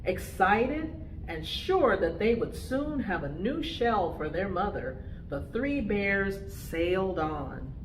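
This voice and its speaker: A woman reading a picture book aloud to preschoolers, with expressive, deliberate phrasing. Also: mid-range voice, reverberant, a little hiss or hum, rough recording. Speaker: storytime reader for preschoolers.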